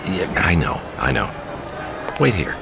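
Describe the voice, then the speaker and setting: Low, creaky and hugely expressive, this is an uneasy, wry man in a radio play. He sounds suspicious and hesitant, with music behind him. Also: unhurried, noisy background, full character voice. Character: troubled, wry male jazz pianist, uneasy and suspicious. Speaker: actor in a radio drama. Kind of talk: naturalistic radio drama acting.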